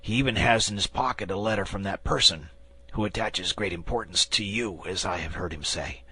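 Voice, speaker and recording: A man's voice: a little fast, sharp tone, breathy edge, exaggerated voice, rough recording. A man voicing a wounded, terrified soldier who begs for his life. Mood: fearful. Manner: pleading, high and strained.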